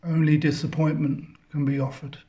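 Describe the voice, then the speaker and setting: A man leading a guided meditation, speaking contemplatively in halting phrases with long pauses between them. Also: fairly high pitch, little pitch movement, dark tone, highs cut off. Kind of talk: guided meditation, spiritual talk.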